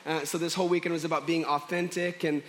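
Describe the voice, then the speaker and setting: A young man preaching as pastor at a church service, hoarse and chatty, joking with fillers and restarts. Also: on the high side, level tone, fast, fairly bright tone, slight background noise. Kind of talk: casual sermon.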